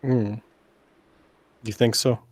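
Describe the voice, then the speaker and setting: A man's voice: mid-range voice, steady tone, takes it slowly, dry recording. A man co-commentating on a video game playthrough in a casual, bantering way.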